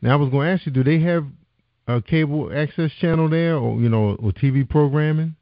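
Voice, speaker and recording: A man hosting an internet radio interview, encouraging his guest with steady affirmations. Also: on the high side, a little fast, no echo. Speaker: internet radio show host. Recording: dry, muffled lo-fi audio.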